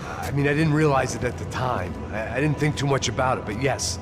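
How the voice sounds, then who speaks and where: An actor playing a bitter, middle-aged ex-cop in a video game, talking in a gruff, weary way. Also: male voice, mid-range voice, fairly quick, over music, lightly acted. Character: weary hard-drinking ex-cop bodyguard, middle-aged man. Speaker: game protagonist.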